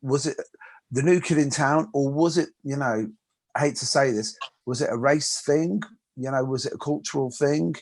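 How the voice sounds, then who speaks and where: A man's voice: medium pitch, normal speed, a little mellow. A man hosting an interview, chatty and self-deprecating, often repeating words as he talks. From England. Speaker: host and martial arts instructor. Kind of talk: casual interview chat.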